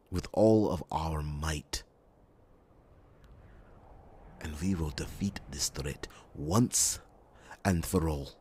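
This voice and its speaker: Very deep, husky fantasy warrior. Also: male voice, full character voice. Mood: resolute. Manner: solemn, deliberate, with dramatic pauses. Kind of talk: ASMR fantasy audio roleplay.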